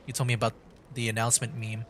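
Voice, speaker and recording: A man streaming a video game with casual commentary, thinking aloud in hesitant, halting bursts. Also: medium pitch, completely flat delivery, a bit bright, slight background noise.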